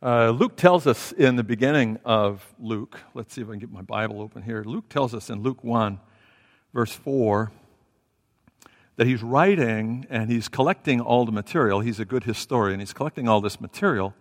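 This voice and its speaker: A man's voice: mid-range voice, moderate pace. A man preaching a sermon through a headset microphone, talking conversationally with fillers and restarts. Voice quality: self-described loud voice. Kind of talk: sermon with Bible reading and prayer. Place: church sanctuary. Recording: reverberant hall.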